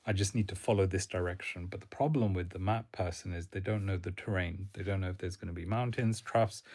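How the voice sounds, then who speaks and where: A man who gives career advice, chatting casually on a live stream in a monotone, rambling way with fillers. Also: deep voice. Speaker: career coach.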